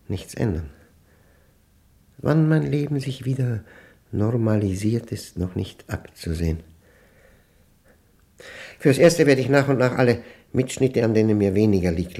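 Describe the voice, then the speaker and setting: Low, gravelly pedantic professor. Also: male voice, mellow. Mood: dry, deliberate. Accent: standard German. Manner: pedantic, reflective, halting, self-questioning. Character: lonely, orderly male mathematics professor recording a confession. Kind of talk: German radio play monologue.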